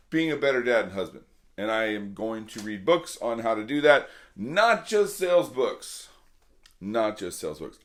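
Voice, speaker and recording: A middle-aged man with a slightly hoarse voice, talking earnestly and casually to his viewers as a vlog host, repeating points for emphasis. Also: medium pitch. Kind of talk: casual motivational talk to camera.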